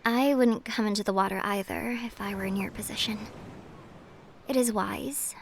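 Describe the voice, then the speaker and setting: A woman's voice: medium pitch, slight background noise, lightly acted. A woman playing an alluring, teasing siren, whispery and coaxing, in a cinematic fantasy audio roleplay. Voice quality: breathy, whispery. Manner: coaxing, playful, unhurried.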